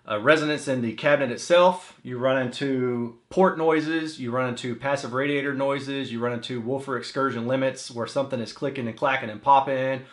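A man's voice: mid-range voice, steady tone, brisk, few pauses. American man explaining in an echoey room. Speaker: audio reviewer and host. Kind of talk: explanatory product review to camera. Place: small indoor home office, seated. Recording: roomy echo of a small room.

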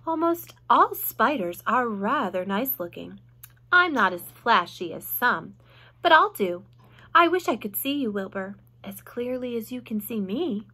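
Woman plays storybook female spider: kindly, poised, self-assured, gracious, lilting high voice. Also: big pitch swings, bright, forceful tone, heavily acted. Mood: friendly, self-assured. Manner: measured, gracious, matter-of-fact.

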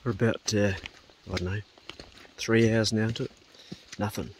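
A man's voice: on the low side, normal speed, heavy background noise. A middle-aged man with a New Zealand accent, casually explaining his homestead jobs and coaxing his dog outdoors, recorded on a body-worn action camera. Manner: relaxed, practical, coaxing the dog with "good boy" and "mate". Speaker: homesteading vlogger. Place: outdoors on a rural homestead and in bush.

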